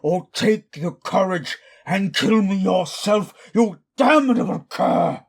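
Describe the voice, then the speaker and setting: Casting: a wrathful, lonely undead creature for audio drama; husky voice, slow and theatrical delivery, formal speech. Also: male voice, sits high, expressive, exaggerated voice. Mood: furious. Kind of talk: dramatic audio roleplay monologue.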